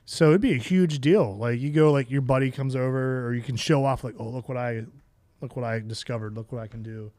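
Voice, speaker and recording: A man's voice: mid-range voice, fairly quick, a little mellow, no echo. Enthusiastic man in his thirties, chatting casually. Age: 30s. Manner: enthusiastic, slangy. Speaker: podcast co-host. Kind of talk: casual chat, reminiscing about video games.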